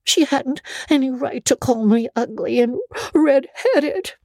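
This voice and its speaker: A woman's voice: sits high, takes it slowly, warm tone, no room sound, studio quality, pitched above the performer's own voice. A woman voicing a tearful, defiant young girl in a dramatic storybook reading, pleading and wailing with wounded pride.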